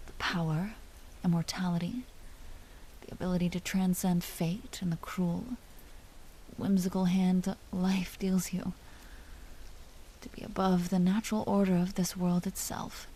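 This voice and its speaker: An aristocratic vampire duchess, acted by a woman for a roleplay audio drama, speaks in a soft, dark voice with a breathy edge. She is imperious and teasing, slipping into hushed confidences that show a tender side. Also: fairly low pitch, little pitch movement, measured pace, pauses often, low-quality recording. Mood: proud, intense.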